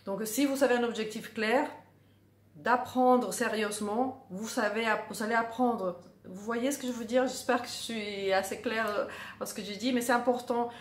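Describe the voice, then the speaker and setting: A woman's voice: on the high side, moderate pace. A middle-aged woman, a drawing teacher, talking casually to the camera and earnestly stressing her points by repeating words. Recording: echoey room.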